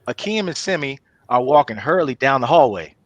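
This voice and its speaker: A man's voice: medium pitch, big pitch swings, brisk, dry recording. A man reading stage directions as narrator of a comedy table read.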